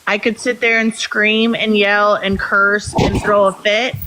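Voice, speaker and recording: A woman joining a livestream as a guest, speaking in a calm, reflective way about how people should talk to each other. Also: mid-range voice, unhurried, low-quality recording. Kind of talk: earnest livestream discussion.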